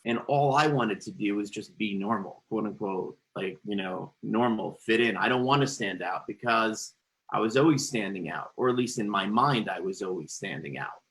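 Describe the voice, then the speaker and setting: A man's voice: on the low side, normal speed, fairly bright tone. A man who stutters, a podcast guest telling his own story in a reflective, conversational way, with repeated words and restarts. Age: adult.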